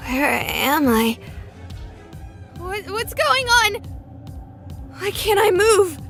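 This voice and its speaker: A woman playing an excitable, jokey young streamer in a haunted hospital video game, expressive and rambling. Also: very high-pitched, extremely slow, vocal fry, soft background music, exaggerated voice. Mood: panicked, pleading. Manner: expressive, rambling, wisecracking to an unseen audience.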